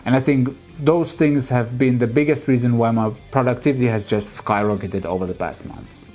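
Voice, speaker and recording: A man talks through viewer comments in a relaxed, chatty vlog. His voice is mellow and warm, and quiet music plays underneath. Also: medium pitch, moderate pace. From Finland. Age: 30s. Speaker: music channel host.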